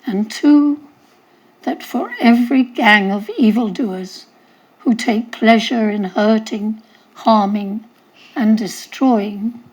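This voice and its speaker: A woman reads a prepared speech at a museum event. She speaks very slowly and deliberately, and her voice is husky. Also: a little high, a little mellow, poor audio. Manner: slow, deliberate delivery. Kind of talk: reading a speech. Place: event hall.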